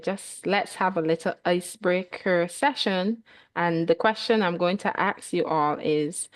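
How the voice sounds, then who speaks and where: A woman hosting an online talk show, introducing her guests and asking questions. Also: mid-range voice. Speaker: host.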